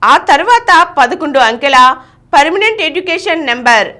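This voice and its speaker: A woman news reader delivers a scripted bulletin. Her voice sits high in pitch, and her intonation swings widely from phrase to phrase. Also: big pitch swings, moderate pace, pushed and bright.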